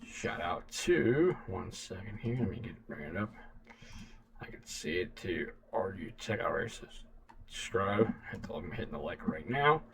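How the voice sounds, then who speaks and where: A man giving casual, rambling reaction commentary in a halting, repetitive way. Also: low-pitched, very animated, gravelly, a bit bright, distant mic. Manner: halting, repetitive, loose phrasing. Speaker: reaction video host.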